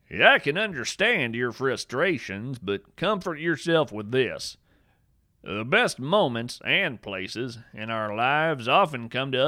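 Male narrator, folksy and playful, deadpan absurd humor, bright voice, animated delivery, dry studio sound. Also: medium pitch, dry recording. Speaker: podcast narrator. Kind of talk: scripted whimsical nature narration.

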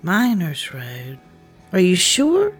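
A woman's voice: on the low side, wildly swooping pitch, takes it slowly, exaggerated voice. A woman playing a folksy waitress. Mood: concerned. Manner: warm, folksy, concerned. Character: motherly small-town diner waitress. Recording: audio drama with faint music beneath.

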